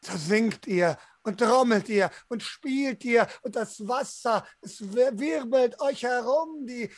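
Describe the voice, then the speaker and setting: Male tabletop storyteller, young adult, dry close sound, gravelly voice, dramatic and drawn-out. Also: very high-pitched, no room sound. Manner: theatrical, drawn-out, intense delivery. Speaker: game master, storyteller. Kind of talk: dramatic tabletop role-play narration. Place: small room at home, online video call.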